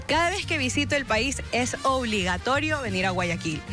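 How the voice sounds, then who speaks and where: A woman speaks. Her pitch is fairly high and music plays clearly behind her. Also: animated, normal speed. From Vinces, Ecuador. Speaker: interviewee. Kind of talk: street interview.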